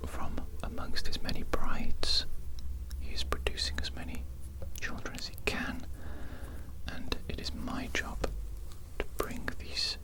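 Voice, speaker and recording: A woman playing a shady, evil mad doctor in a whispered ASMR roleplay, formal and deliberate, politely sinister and repeating phrases. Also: close to an everyday voice, rough recording. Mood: earnest, wistful.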